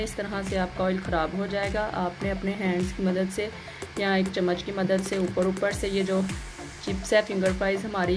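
A woman gives a plain, instructional step-by-step recipe voice-over as a home cooking host. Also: mid-range voice. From Pakistan. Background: music behind the voice.

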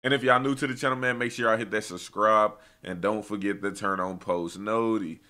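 A man's voice: on the low side, steady tone, a little fast, sharp tone. A young American man giving casual, slangy reaction commentary with opinionated, conversational delivery. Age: young adult. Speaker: reaction video host.

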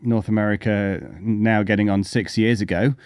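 A man's voice: on the low side, little pitch movement, moderate pace, darkish tone, clean tone, no echo, studio quality. A man from the UK with a British accent, chatting casually as a podcast co-host. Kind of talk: casual co-hosted chat.

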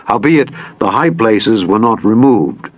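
A man reading scripture as a dramatized audiobook narration, solemn and measured, with deliberate phrasing. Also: fairly low pitch, lively intonation. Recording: dull band-limited audio with music behind. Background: background music.

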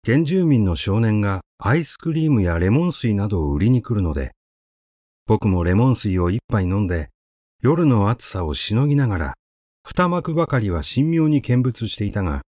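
A man reading a literary short story aloud as an audiobook narrator, with measured, expressive storytelling. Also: lowish voice, dry recording, studio quality.

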